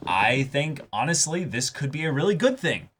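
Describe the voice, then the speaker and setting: A man co-hosting a reaction show, talking casually and excitedly with a dramatic, theatrical streak. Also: on the high side, normal speed, a little hiss or hum. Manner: animated, breaks into dramatic mock-quoted voices. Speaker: co-host of an anime reaction show. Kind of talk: casual excitable discussion between two hosts.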